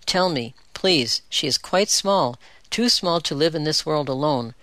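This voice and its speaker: A desperate father in a storybook begs strangers for help, his voice climbing higher as he pleads, put on by a woman reading aloud. The sound is dry and close. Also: expressive, a little slow, fairly bright tone, no room sound. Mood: pleading. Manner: pleading, rising in pitch. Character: frantic middle-aged father, a shoemaker.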